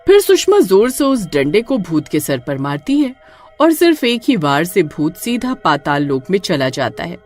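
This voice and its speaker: A woman narrating a horror story in an expressive storytelling manner. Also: on the low side, normal speed, faint music behind.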